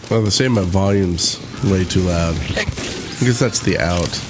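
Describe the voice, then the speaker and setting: A man's voice: low-pitched, a little slow, noisy background. A man hosting a podcast in casual gaming chat, with dry, playful sarcasm. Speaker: podcast host. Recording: internet call with game sound behind. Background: music and game sound effects.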